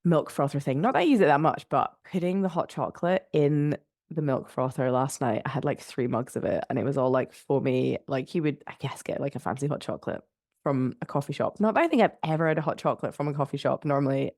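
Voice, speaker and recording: A young woman hosting a relaxed solo podcast from her spare room, sounding congested and nasal from blocked sinuses. She chats in a rambling way, with lots of "like" fillers and light laughs. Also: extremely low pitch, fast, no echo, studio quality. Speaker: podcast host.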